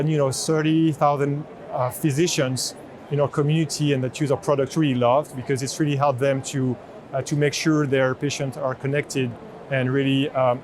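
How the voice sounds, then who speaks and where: A man with a slightly high voice presents a product off the cuff. He explains earnestly, often pausing and repeating words. There is noticeable exhibition hall noise behind him. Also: darkish tone. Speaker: chief product officer giving a product demo. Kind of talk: spontaneous product demo presentation. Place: indoor trade show booth in large exhibition hall. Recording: busy convention hall background noise.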